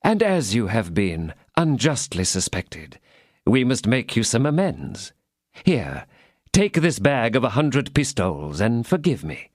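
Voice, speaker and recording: A man playing a smooth scheming cardinal. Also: medium pitch, sing-song, dry recording, clean studio sound. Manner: smooth, measured, falsely paternal. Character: scheming, cold-hearted older male cardinal.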